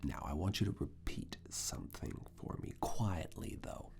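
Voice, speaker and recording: Very deep whispering man, slow and creaky. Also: expressive, darkish tone. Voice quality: breathy whisper mixed with very low creaky murmur. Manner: slow, deliberate, teasingly stern, intimate. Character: stern, teasing, controlled university professor. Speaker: ASMR roleplay performer as a professor. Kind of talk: ASMR personal attention roleplay.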